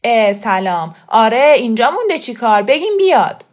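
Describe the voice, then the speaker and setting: A woman's voice: on the high side, very animated. A woman voicing a brusque, impatient young man in an audiobook story.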